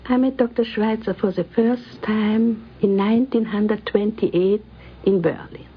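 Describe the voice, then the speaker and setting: A woman interviewee recalling her past in careful, halting phrases, on a muffled 1950s radio recording. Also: mid-range voice, fairly even intonation, breathy edge. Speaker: interviewee, music professor. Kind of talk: personal reminiscence for radio documentary.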